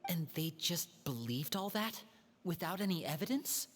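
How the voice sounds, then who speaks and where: An actor playing a calm young man who leads his siblings in a video game, speaking in a measured, explanatory way. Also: on the high side, slightly rough. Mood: steady. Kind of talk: video game character dialogue.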